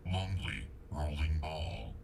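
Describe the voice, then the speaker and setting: A man voicing a gruff, gravelly talking hamster with a flat mechanical delivery for a video game. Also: extremely low pitch, slow, a little hiss or hum, exaggerated voice. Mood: deadpan.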